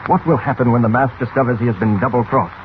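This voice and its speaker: A man's voice: medium pitch, fast talker. A man narrating an old radio serial in a dramatic, scripted style. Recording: tinny, hissy old radio recording.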